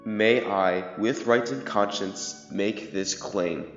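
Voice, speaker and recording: A man acting a solemn young king in an amateur Shakespeare audio drama, speaking verse in a formal, measured, grave way. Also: lowish voice. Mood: solemn questioning. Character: solemn young king of England in a history play. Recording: echoey hall, muffled, music behind.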